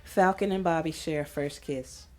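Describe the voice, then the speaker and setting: A woman's voice: low voice, moderate pace, darkish tone, faint music behind. A woman host reading scripted screenplay narration for an audio drama.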